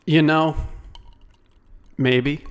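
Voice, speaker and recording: A man's voice: on the high side, steady tone, very slow, smooth. A young man co-hosting a podcast in casual chat, with dry, teasing remarks. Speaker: podcast co-host.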